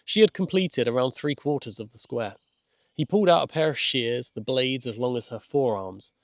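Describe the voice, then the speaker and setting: A man's voice: medium pitch, normal speed, no room sound. Man narrating a children's story, dry sound. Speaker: author and narrator. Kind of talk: scripted children's audiobook narration.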